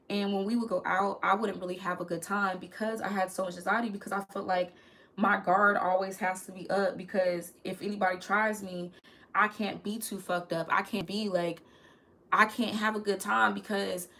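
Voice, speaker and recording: A woman's voice: mid-range voice, creaky, bright, forceful tone. A young woman, telling a candid storytime straight to her viewers. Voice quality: crackly voice. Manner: chatty, candid, talks to viewers as "y'all". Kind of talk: casual storytime vlog.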